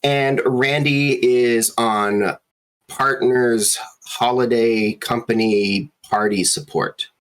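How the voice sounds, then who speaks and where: A man hosting and running a tabletop game stream, introducing players in an easygoing way with many pauses. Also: mid-range voice, steady tone, fairly bright tone. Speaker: game master and host.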